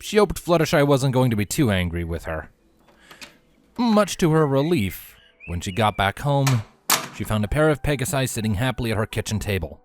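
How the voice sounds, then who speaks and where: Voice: medium pitch, sing-song, moderate pace, slight background noise. A narrator reading scripted fiction aloud as storytelling. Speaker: narrator, fanfiction reader.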